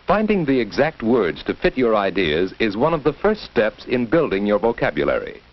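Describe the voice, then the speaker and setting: A man's voice: on the low side, very animated, moderate pace. American male narrator, very varied intonation. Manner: formal, instructive. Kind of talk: scripted educational film narration. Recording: dull vintage film soundtrack.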